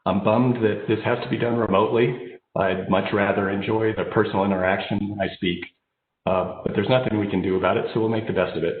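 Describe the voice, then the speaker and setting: A man's voice: lowish voice, steady tone, fairly quick, a little hiss or hum. An American man in his fifties with a slight head cold, giving an informal, personal keynote speech remotely. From born in Japan, raised overseas, then United States. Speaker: keynote speaker, engineering executive. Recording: phone-like narrow band, roomy echo.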